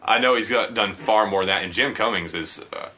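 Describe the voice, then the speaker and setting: A man hosting a casual podcast interview, eager and chatty, quick to laugh. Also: lowish voice, bright, forceful tone.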